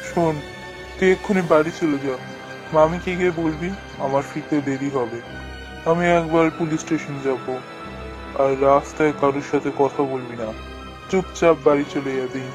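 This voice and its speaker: A man's voice: fairly high pitch, steady tone, normal speed, music in the background, close to an everyday voice, rough recording. A man playing a stern, protective uncle in a dramatic cartoon, speaking in a commanding, urgent manner. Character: stern, protective adult uncle investigating spooky happenings. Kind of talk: dramatic scripted cartoon dialogue.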